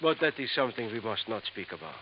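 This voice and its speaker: A man's voice: lowish voice, big pitch swings, quick pace. An actor playing a sinister, scheming male professor with polished, formal diction, in 1950s radio drama acting. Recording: dull old radio recording, faint hiss.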